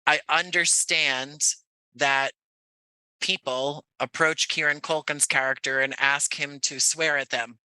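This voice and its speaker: A man's voice: fairly high pitch, fairly even intonation, slow pace, pushed and bright, clear, resonant tone, no echo, rough recording. An American man from Jersey City talking reflectively as an interview guest, in a conversational, wordy way that often doubles back on itself. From Jersey City, United States. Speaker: podcast guest, audio playwright.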